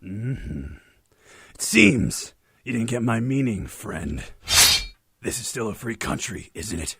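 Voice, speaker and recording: An actor playing a gruff, insolent tough-guy mutant agent with a gravelly, sardonic voice in a superhero audio drama. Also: fairly low pitch, very animated, takes it slowly, a bit bright, slight background noise, full character voice. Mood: defiant. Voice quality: gravelly, raspy. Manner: sardonic, growling tough talk. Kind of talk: fan-made superhero audio drama acting.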